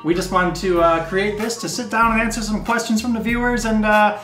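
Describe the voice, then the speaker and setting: A man's voice: sits high, brisk. A young man hosting a web show, chatting casually and jokily as he answers viewer questions. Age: young adult. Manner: animated, jokey, informal. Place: small indoor room with poster wall. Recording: roomy echo, music underneath.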